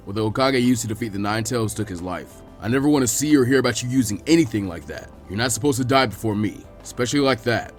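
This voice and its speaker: A man voicing a laid-back, gruff but caring adult ninja teacher in a story reading, calm and dry in manner. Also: mid-range voice, fast talker, few pauses, soft background music, voice pushed down, close to an everyday voice. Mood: grave, protective. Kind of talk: character voice in fan fiction reading.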